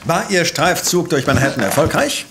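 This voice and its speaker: Pompous professor sleuth, fairly high voice, lively intonation, radio play, noticeable background noise. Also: male voice, moderate pace. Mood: self-assured. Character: self-assured, courtly male professor and sleuth. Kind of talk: German audio drama acting.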